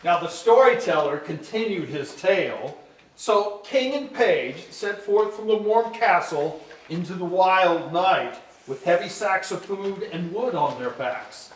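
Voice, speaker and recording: A man plays an old-fashioned storyteller on stage. He narrates descriptively and dramatically in a fairly high voice, picked up at a distance in a roomy space with faint noise. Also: lively intonation, unhurried. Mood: suspenseful. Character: male storyteller narrating an old winter tale. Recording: echoey hall, far mic, faint noise.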